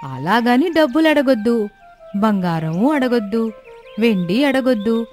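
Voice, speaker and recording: Magical female iron griddle for a story cartoon played by a woman: bossy, unhurried, music underneath. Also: a little high, animated, darkish tone. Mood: commanding. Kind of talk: children's moral-story cartoon voice. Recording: music bed behind the voice.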